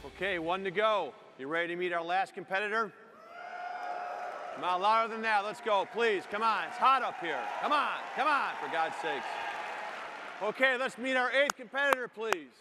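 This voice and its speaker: A man's voice: high-pitched, expressive, moderate pace. A man hosting a live stage event, urging on the audience with joking impatience. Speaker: event host. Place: large theatre stage before live audience. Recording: loud crowd and noise in theatre.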